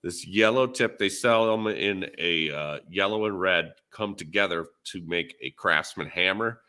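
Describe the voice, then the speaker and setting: A man hosting a podcast, talking casual shop in a laid-back, halting way, thinking aloud as he goes. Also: deep voice, bright, forceful tone. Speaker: podcast host. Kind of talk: casual shop talk on a livestream.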